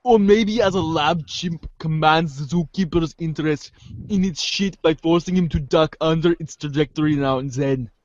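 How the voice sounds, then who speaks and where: A man's voice: on the high side, normal speed, dry recording, dull audio, lightly acted. A man voicing a haughty, wordy teenage girl with pompous, florid phrasing in an amateur comic script reading. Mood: condescending. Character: haughty, verbose teenage girl, snarky intellectual.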